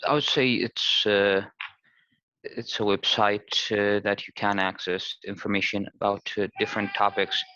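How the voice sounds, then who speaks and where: A man's voice: lowish voice, little pitch movement, unhurried, bright, forceful tone, dry recording. Hesitant male student, dull call audio. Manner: hesitant, filler-heavy. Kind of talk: answering in class. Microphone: video call.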